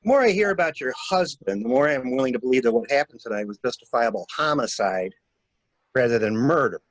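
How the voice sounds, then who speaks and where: Man acting a wry, probing stranger, animated delivery. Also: medium pitch, brisk, dry recording, lightly acted, rough recording. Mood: probing, curious. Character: shrewd, wry male stranger coaching a new widow. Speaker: library staff reader. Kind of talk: dramatic reading of a murder mystery.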